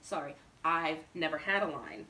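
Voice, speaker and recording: A dry, aloof male storybook stranger, read by a woman, speaks in a crisp, even deadpan. The pitch is fairly low. The sound is echoey and a little distant from the mic. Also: moderate pace, a little hiss or hum. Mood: curt, dry. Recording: roomy echo, distant microphone.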